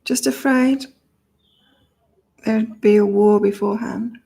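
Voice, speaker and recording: Middle-aged British-born woman, psychic livestream host, monotone, loose and repetitive. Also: fairly high pitch, slow pace, vocal fry. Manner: rambling, thinks aloud, repeats herself. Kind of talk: casual live chat reading viewer comments.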